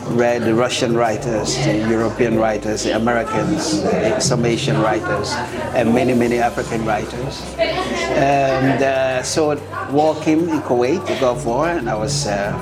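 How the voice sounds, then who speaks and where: A man from Liberia, speaking in a measured, reflective way with many pauses and fillers as an interviewed author. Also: mid-range voice. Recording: music under voice. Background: music bed.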